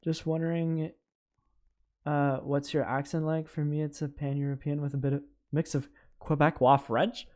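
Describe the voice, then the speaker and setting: A young man from Canada, live-streaming a flight game and chatting with viewers in a stammering, excitable way. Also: on the high side, normal speed. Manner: animated, stammering, talks to chat. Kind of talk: live gameplay stream chat.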